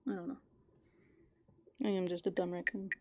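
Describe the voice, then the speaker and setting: A woman chatting softly and casually in a whimsical, musing ASMR roleplay as a playful raccoon character, close to the microphone. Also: on the low side, flat delivery, normal speed, a little hiss or hum.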